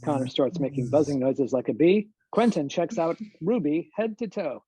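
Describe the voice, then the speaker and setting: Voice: mid-range voice, normal speed, warm tone, slight background noise. A narrator reading screenplay stage directions in a scripted style over a video call. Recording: dull video-call audio.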